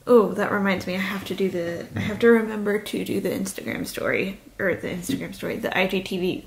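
A young woman in her twenties chatting casually into a desk camera in her small kitchen, rambling with lots of "like" and a slightly raspy voice. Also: medium pitch. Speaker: vlogger. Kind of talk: casual vlog chat. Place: small home kitchen, indoors. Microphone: camera on a desk, facing her.

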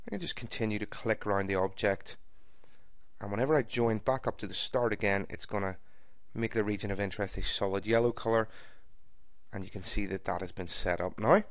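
A man giving a screen-recorded software tutorial, explaining each step in a steady, methodical way. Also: on the low side, a little fast, no echo, clean studio sound. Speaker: software trainer. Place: voice-over recorded for a screen capture.

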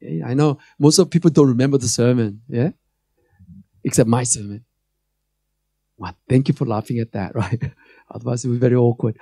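Korean-accented male pastor in his 30s, mellow dry voice, chatty then emphatic sermon on a handheld mic. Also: medium pitch, steady tone, fairly quick, gravelly, pauses often, no echo. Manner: joking, conversational preaching, rising to emphatic calls. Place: church stage before a screen.

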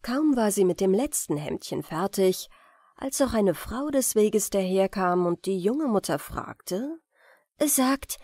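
A woman's voice: medium pitch, lively intonation, a little slow, mellow, no echo. A woman reading a fairy tale as scripted audiobook narration for bedtime.

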